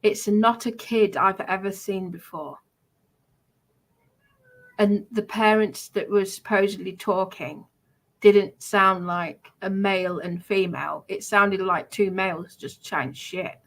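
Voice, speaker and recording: A woman's voice: mid-range voice, fairly even intonation, takes it slowly, low-quality recording. A woman chatting casually in a defensive way, often repeating herself.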